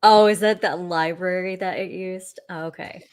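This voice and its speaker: A woman's voice: on the low side, fairly even intonation, moderate pace, darkish tone, clean tone. Giggly woman co-hosting a live stream. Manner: giggly, casual, chatty. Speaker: event co-host. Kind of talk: live stream chat between talks.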